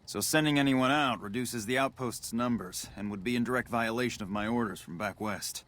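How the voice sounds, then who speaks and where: A weary military outpost commander from a video game, played by a man, speaks at a medium male pitch. He is clipped and emphatic, a frustrated officer bound by his orders and paperwork. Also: brisk, a bit bright, natural voice. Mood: curt, frustrated. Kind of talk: video game character dialogue.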